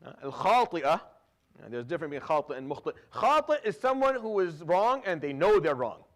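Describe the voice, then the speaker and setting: Fast-talking Syrian-born man teaching scripture. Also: on the high side, sing-song. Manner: conversational, frequent restarts and repeated words, drops Arabic fillers; recites Quranic Arabic quickly. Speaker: imam giving Quran commentary. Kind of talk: informal religious lecture.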